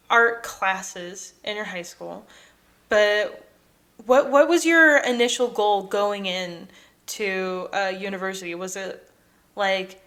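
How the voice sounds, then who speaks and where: A woman's voice: mid-range voice, pushed and bright. A young woman co-hosting a casual art podcast, swearing freely and laughing often as she tells stories. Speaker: podcast co-host. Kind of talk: casual chat.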